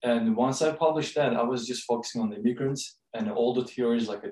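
A man from Turkey with a Turkish accent, speaking English with many pauses and fillers as a guest on a podcast interview. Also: mid-range voice, fairly even intonation, a little mellow, reverberant, recorded from a distance, rough recording. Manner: halting, many fillers, searching for words. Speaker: guest, co-author and professor.

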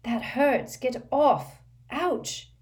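A woman's voice: medium pitch, very animated, slow, a little mellow, recorded from a distance, voice pushed up. A woman voicing an anxious teenage boy wizard who talks in frantic, breathless questions, in a storybook reading. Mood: pained, panting.

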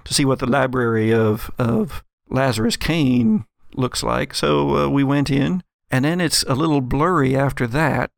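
A man's voice: medium pitch, a little slow, creaky, dry recording, clean studio sound. A man playing an affable, hesitant Texan drive-in owner in his forties who stammers and rambles in an improvised horror role-play. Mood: sheepish.

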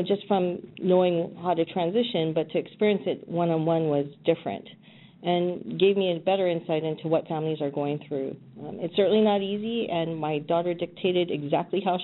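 Over a thin, phone-like line with faint noise, a middle-aged woman from Hawaii answers as an interview guest. Her voice sits a little low, and she talks in a conversational way. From Hawaii, United States. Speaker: interview guest, executive director.

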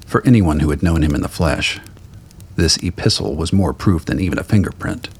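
Very deep gravelly male narrator. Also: expressive, fairly quick, darkish tone. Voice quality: gravelly, husky. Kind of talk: scripted dramatic narration of fiction.

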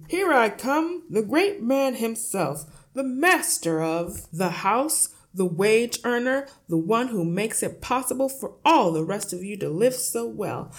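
A woman voicing a loud, boastful, bullying middle-aged father in a storybook, with a pompous, blustering, shouting manner. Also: fairly high pitch, sing-song, slow pace, voice pushed up, exaggerated voice.

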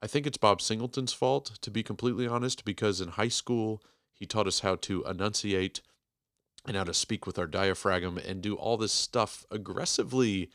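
A man in his forties hosting a podcast, giving a rambling, self-deprecating intro with emphatic, clearly enunciated speech. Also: on the low side, moderate pace, fairly bright tone, no echo, studio quality. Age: 40s. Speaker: podcast host. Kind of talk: casual podcast intro monologue.

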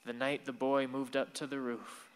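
A man's voice: medium pitch, flat delivery, moderate pace, a little hiss or hum, natural voice. A man acting a wistful, bitter son of a grieving mother, telling his story earnestly in a radio drama. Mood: wistful, hurt. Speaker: actor in a radio play. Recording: long hall reverb.

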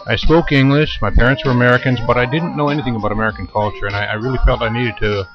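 A man's voice: medium pitch, steady tone. A man in his fifties who grew up in Japan, a radio interview guest telling long, thoughtful stories. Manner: unhurried, thoughtful storytelling. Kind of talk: casual interview. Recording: muffled lo-fi radio recording with music behind.

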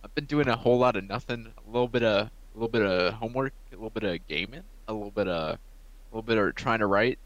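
A young man co-hosting a casual podcast, dry and halting, trailing off mid-sentence. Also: lowish voice, no room sound, lo-fi sound. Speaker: podcast co-host. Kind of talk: casual group podcast chat.

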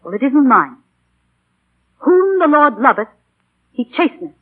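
A severe mother in an old radio play, very high in pitch. She is accusing and emphatic, her voice rising and falling sharply. The broadcast sounds thin and telephone-like. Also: big pitch swings, a little slow. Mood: bitter, righteous. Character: stern, embittered mother, strict and righteous wife. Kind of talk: 1940s radio drama acting. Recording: tinny, narrow 1940 radio broadcast.

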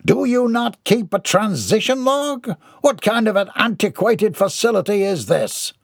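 Audiobook character: grandiose, easily outraged eccentric gentleman, high declamatory voice performed by a male narrator, close dry sound. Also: animated, a little slow, vocal fry, no echo, exaggerated voice. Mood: indignant. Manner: declamatory, grandiloquent, florid formal diction. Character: pompous, indignant, grandiose eccentric man ranting.

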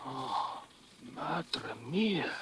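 A weary, fearful Portuguese fisherman, husky and rather high. His pitch swings dramatically, in 1940s radio style. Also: sing-song, very slow, fairly bright tone, whispered, heavily acted. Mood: uneasy, shocked.

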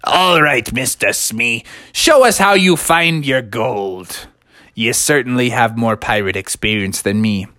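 A storyteller voicing a bossy, heroic frog pirate captain with commanding, drawn-out pirate-speak in a children's story. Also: mid-range voice, pushed and bright, dry recording, studio quality, pitched below the performer's own voice. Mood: gracious.

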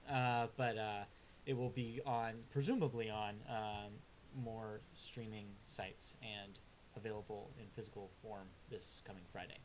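A man's voice: on the low side, steady tone, unhurried, a little hiss or hum, low-quality recording. A man co-hosting a casual album review chat, laid-back and hesitant with lots of filler sounds, delivering blunt, dry verdicts. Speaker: music review co-host.

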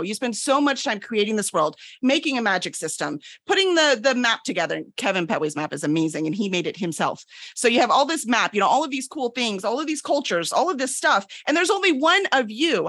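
High-voiced woman explaining emphatically. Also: fairly bright tone, no room sound, clean studio sound. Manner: emphatic, peppers points with "right?". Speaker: podcast guest, book publisher. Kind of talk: casual explanatory talk.

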